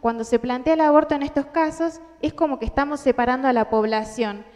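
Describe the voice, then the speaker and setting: A woman's voice: sits high, a little fast, a little mellow. A young woman with an Argentine accent giving an earnest talk to a large audience, with many fillers and restarts. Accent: Argentine Spanish. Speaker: conference speaker. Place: large indoor hall with audience. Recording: hall reverb.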